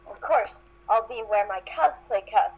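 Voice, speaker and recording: A woman voicing an excited young male cartoon dragon assistant, eager and boyish. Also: on the high side, moderate pace, muffled recording, heavy background noise, natural voice.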